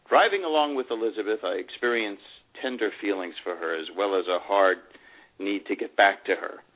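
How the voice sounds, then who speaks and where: A man's voice: lowish voice, close to an everyday voice. A man playing a proud, lovelorn older divorced man, reading his memoir in an earnest storytelling manner. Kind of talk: dramatic first-person memoir reading. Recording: phone-like narrow radio sound.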